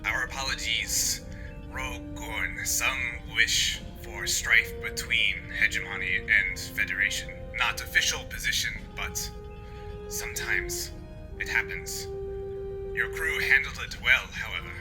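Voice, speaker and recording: A man acts out a stern reptilian alien commander from a space roleplaying game. The voice is mid-pitched and formal, in clipped, halting fragments, with music clearly behind it. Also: natural voice. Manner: clipped, halting, broken sentences.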